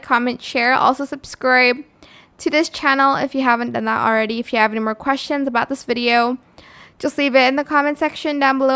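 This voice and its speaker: A woman hosting a casual software tutorial, talking viewers through the steps. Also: high voice. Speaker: video tutorial host.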